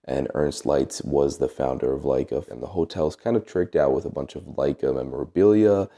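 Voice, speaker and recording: A man's voice: a bass voice, normal speed, vocal fry, dark tone. A young man chatting casually into a handheld camera on a trip, upbeat and joking though tired. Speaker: vlogger. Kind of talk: handheld travel vlog chat. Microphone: camera mic at arm's length.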